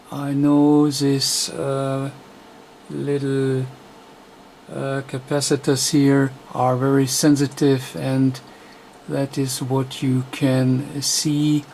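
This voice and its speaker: A man who speaks as a walks through a technical demonstration off the cuff. His delivery is slow and hesitant, broken into short phrases, at a medium pitch. Light background noise sits behind the voice. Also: darkish tone. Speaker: radio repair technician presenting a tutorial. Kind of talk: unscripted bench demonstration commentary.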